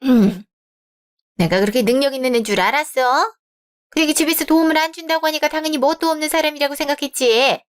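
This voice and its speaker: An overbearing middle-aged mother in a radio drama, nagging and indignant, her voice sitting fairly high, as a woman voices her. She talks down to the young woman her son wants to marry. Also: fast talker, natural voice. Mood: flustered.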